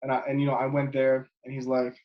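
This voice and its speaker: A man's voice: medium pitch, quick pace, muffled recording. Guest, man in his twenties, easygoing and rambling, recorded on a webcam at home. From Jordan. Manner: relaxed, rambling with many fillers and restarts. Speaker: guest, basketball player. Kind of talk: casual interview chat. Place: bedroom at home, indoors. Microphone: webcam video call.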